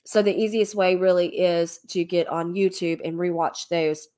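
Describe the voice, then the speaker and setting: A woman's voice: on the low side, fairly even intonation, normal speed, warm tone. A woman with a cold and a slightly nasal voice, hosting a church livestream in a chatty way with many ums and restarts. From Alabama. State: congested from a cold, a little nasal. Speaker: ministry leader hosting a livestream. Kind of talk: casual livestream preaching.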